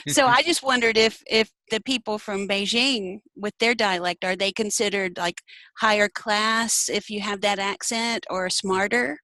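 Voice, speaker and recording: A woman's voice: mid-range voice, fairly even intonation, a little slow, sharp tone, no room sound, low-quality recording. A woman with a Southern US accent asking thoughtful questions in a casual chat. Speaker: guest.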